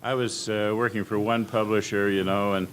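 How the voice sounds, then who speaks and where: Halting older American man, noisy recording. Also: on the low side, little pitch movement. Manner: halting, rambling, hesitant anecdote telling. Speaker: panel guest at old-time radio convention. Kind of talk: informal panel storytelling, reminiscing. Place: indoor convention panel room. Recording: clearly noisy convention room recording.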